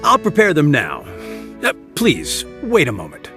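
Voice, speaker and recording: A man's voice: on the high side, huge pitch swings, unhurried. An actor voicing a polite, dutiful older male butler in a game cutscene, formal and courteous and coughing now and then. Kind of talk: video game cutscene dialogue. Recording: game audio with background music.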